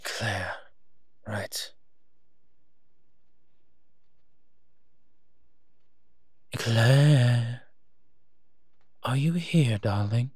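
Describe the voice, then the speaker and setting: A man playing a wry, teasing monster who partners a human detective in a roleplay audio drama, speaking with hums and pauses. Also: mid-range voice, a little mellow, husky, dry recording. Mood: wry, impatient.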